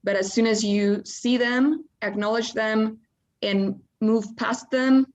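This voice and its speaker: A woman's voice: fairly high pitch, steady tone, takes it slowly, darkish tone, muffled recording, a little hiss or hum. A woman contestant on a video call, earnestly and emphatically explaining her passion. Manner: earnest, emphatic, says literally often. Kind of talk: enthusiastic pitch.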